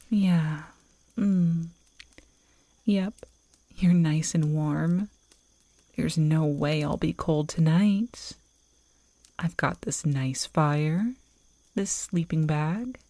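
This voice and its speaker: A woman playing an affectionate, playful girlfriend in a soft, intimate ASMR audio roleplay, close to the microphone. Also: sits low, no echo, exaggerated voice. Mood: playful, teasing. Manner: intimate, teasing, slow and cosy. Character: affectionate, playful werewolf girlfriend on a camping trip. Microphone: close microphone, dry.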